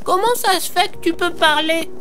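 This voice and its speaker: A woman's voice: very high-pitched, moderate pace, fairly bright tone, a little hiss or hum. A woman voicing a curious, innocent young child in an audio drama, asking things simply and directly.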